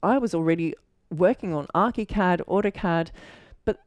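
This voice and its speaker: A woman's voice: lowish voice, normal speed, darkish tone, no room sound. An Australian woman in her forties, an architect, telling her story casually with many fillers and self-corrections. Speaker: architect and mentor.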